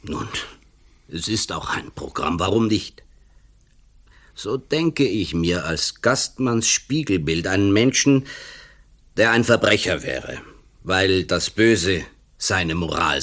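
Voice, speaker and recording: An actor playing a brooding writer in a radio play, philosophising emphatically with a sly, malicious edge. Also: male voice, deep voice, a bit bright, heavily acted. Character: brooding male writer, intellectual, slyly malicious.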